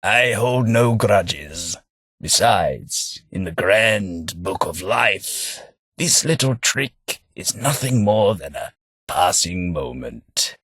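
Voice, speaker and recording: An actor playing a gentle, hapless English gentleman and former clerk, with a soft, slightly husky voice and a mild, wry, self-deprecating manner. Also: low voice, expressive, takes it slowly, dry recording, heavily acted. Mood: dry wry. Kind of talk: game character voice lines. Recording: dry studio booth.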